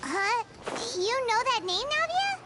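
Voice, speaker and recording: A woman's voice: extremely high pitch, big pitch swings, slow pace, pushed and bright, heavily acted. A woman voicing a small, childlike fairy companion in a video game, bubbly and blunt, referring to herself by name. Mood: curious, astonished. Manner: bubbly, blunt, exclaiming, calls self by name. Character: small childlike excitable fairy companion, speaks in third person. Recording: game music playing behind.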